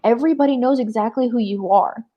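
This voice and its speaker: A woman co-hosting a live show in a casual, animated book chat, emphatic and peppering her sentences with "like". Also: on the high side, quick pace, dull audio.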